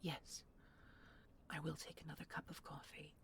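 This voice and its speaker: A woman playing a bitter, guarded deposed spy commandant in an audio roleplay, husky and gravelly, with a halting, defensive delivery. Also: low voice, little pitch movement, in a whisper. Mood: weary, curt.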